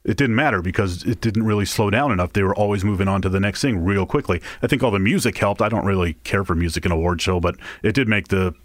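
A man's voice: lowish voice, fast, few pauses, no room sound. A man co-hosting a show as a film reviewer, talking in a conversational, opinionated way. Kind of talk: casual movie chat.

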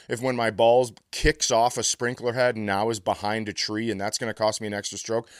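A man's voice: medium pitch, no echo, studio quality. An American man hosting a comedy podcast, telling casual stories with a profane, exasperated ranting streak. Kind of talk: casual comedic chat and storytelling.

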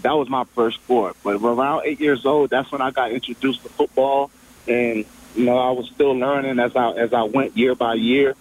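A man's voice: mid-range voice, steady tone, normal speed, low-quality recording. A man in his twenties, born in Liberia, telling his story casually on a phone interview, with many restarts. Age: 20s. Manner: casual, rambling, with repeated restarts. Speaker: football player guest. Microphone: phone call.

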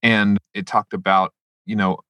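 A man's voice: on the low side, little pitch movement, measured pace, sharp tone, clear, resonant tone, dry recording. A man hosting a podcast, reacting in a friendly way and steering the guest with questions. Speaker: podcast host. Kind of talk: casual podcast interview.

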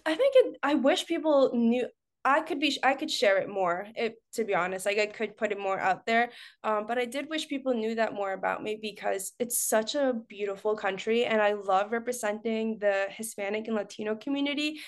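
Higher-pitched young woman from Costa Rica, chatty. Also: normal speed. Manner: chatty, laughs often while talking. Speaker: podcast guest. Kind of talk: casual personal chat.

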